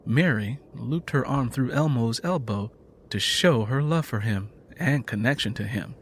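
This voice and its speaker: A man's voice: mid-range voice, measured pace, a little hiss or hum. A man narrating scripted fiction in a dramatic storytelling style.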